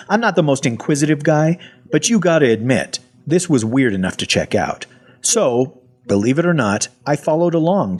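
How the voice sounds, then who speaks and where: A man narrating a first-person story in a conversational, wry way, with dramatic pauses. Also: medium pitch, breathy edge. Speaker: narrator of an audio fiction story.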